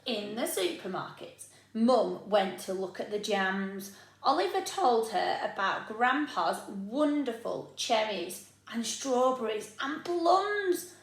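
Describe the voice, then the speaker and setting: A woman with a British accent, a primary school teacher reading a storybook to young children in a deliberate, expressive way. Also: on the high side. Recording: echoey room.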